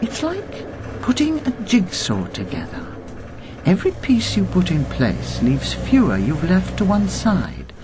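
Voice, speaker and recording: Steely elderly woman with lively intonation. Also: fairly low pitch, background noise, heavily acted. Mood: measured, knowing. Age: 70s or older. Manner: precise, deliberate, coldly insistent. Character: steely, accusing elderly woman, former wartime intelligence officer. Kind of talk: radio drama acting.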